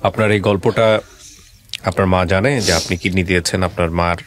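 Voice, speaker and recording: Earnest man, low-pitched host. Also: fairly even intonation, normal speed. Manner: earnest, gently advising. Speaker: radio show host. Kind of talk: interviewing a guest and reflecting on his story.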